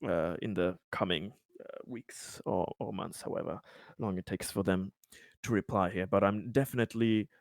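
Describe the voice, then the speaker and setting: Halting, low-voiced man, close dry sound. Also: fairly even intonation, vocal fry, dry recording. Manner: hesitant, halting, polite and formal. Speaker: tabletop roleplaying game player. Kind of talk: improvised in-character tabletop dialogue.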